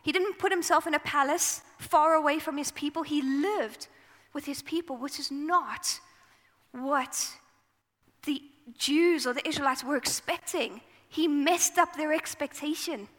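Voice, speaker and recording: A woman with a South African accent preaching a sermon into a microphone, telling the story in a relaxed, conversational way. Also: high voice, unhurried, big-room sound.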